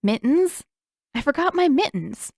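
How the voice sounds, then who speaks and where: A woman's voice: high-pitched, sing-song, measured pace, a little mellow, dry recording, voice pushed up, exaggerated voice. A woman acts out a teenage girl from an audio story, stubborn and sarcastic. She talks in a raised, emphatic, defensive voice, recorded dry and close.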